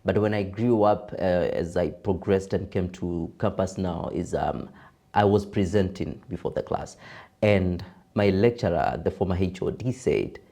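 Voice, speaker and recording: Young kenyan man, very low voice, warm mellow tone, broadcaster, relaxed guest chat. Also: a little slow, vocal fry. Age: young adult. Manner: deep voice, laughs easily, mixes in Swahili. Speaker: podcast guest, radio broadcaster and voiceover artist. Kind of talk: casual interview chat.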